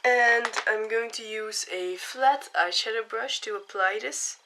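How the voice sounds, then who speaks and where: A young woman with a cold and a hoarse voice, chatting casually as she explains each step of a makeup tutorial. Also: a little high, a little slow, noisy background.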